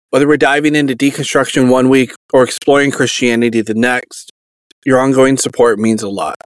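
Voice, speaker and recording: Young male podcast host, earnest, measured read, dry close sound. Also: mid-range voice, no room sound. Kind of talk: scripted podcast intro.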